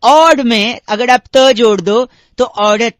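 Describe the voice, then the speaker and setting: Comic radio prank caller, played by a man: very high voice, dry close sound, pedantic, needling, mock-earnest. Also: takes it slowly, dry recording. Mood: mock-earnest pedantry. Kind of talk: comic prank phone call.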